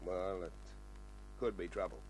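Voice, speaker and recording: An Australian man acting a gruff, world-weary police sergeant, terse and dry, in a 1950s radio crime drama. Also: low voice, steady tone, a little slow, a little mellow, soft background music. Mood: grave. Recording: noisy old radio recording with hum.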